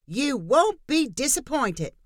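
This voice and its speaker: A woman voicing a pushy, cheery middle-aged neighbor woman who talks loudly and overbearingly. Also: high-pitched, big pitch swings, slow, dry recording, clean studio sound, voice pushed up, heavily acted. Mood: gushing. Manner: loud, gushing, overbearing.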